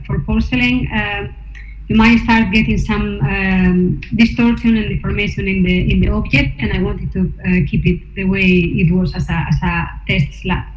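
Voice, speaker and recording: A woman artist presenting her research to slides, hesitant, with many fillers and restarts. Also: medium pitch. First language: not Norwegian. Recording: echoey room, dull sound, faint noise.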